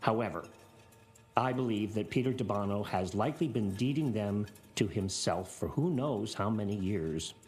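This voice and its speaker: An actor playing a cowardly, greedy accountant in a video game, with a fussy, flustered, whiny manner. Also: male voice, lowish voice, normal speed, darkish tone, natural voice. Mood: formal. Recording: game soundtrack music behind.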